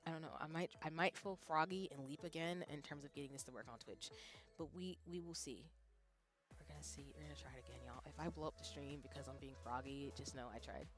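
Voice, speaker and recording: Animated woman chatting on a live stream. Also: low voice, fast. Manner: animated, chatty, swings from loud bursts to soft asides; self-deprecating, reads out chat. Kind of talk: casual live-stream chat. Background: faint background music.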